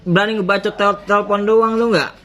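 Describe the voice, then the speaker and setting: A man's voice: high voice, steady tone, fast talker, a bit bright. A man defiantly taunting and mocking a debt collector during a heated phone argument. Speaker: borrower confronting a debt collector. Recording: phone call recorded with noisy background.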